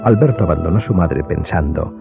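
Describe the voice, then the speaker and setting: A man's voice: low-pitched, expressive, fairly quick, creaky. A man giving scripted literary narration for an audio drama. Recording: muffled, music playing behind.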